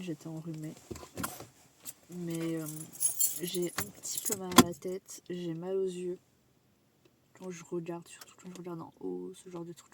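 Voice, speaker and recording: Gravelly-voiced young woman, sick and tired. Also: lowish voice, noisy background. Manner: chatty, rambling, casual swearing. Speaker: vlogger. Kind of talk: casual vlog chat to camera.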